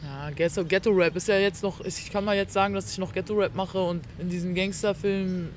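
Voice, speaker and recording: A woman's voice: lowish voice, fairly even intonation, fairly quick, darkish tone. A woman chatting casually in slang mixed with English words, recorded outdoors. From Berlin, Germany. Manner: slangy, peppered with English words and tag questions. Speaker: rapper, interview guest. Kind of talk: casual chat. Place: outdoors on a picnic blanket in a park. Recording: dull outdoor recording, faint city noise.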